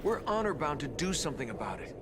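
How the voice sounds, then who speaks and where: An actor playing a serious, dutiful teen turtle leader who speaks firmly. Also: male voice, fairly high pitch, big pitch swings, fast, background noise. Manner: firm, commanding.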